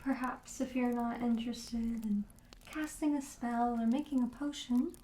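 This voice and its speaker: A woman playing a friendly, darkly quirky witch shopkeeper in an ASMR roleplay, speaking softly and breathily with gentle, coaxing sales patter that often drops to a whisper. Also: a little high, steady tone, moderate pace, echoey room, slight background noise, lightly acted. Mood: softly matter-of-fact.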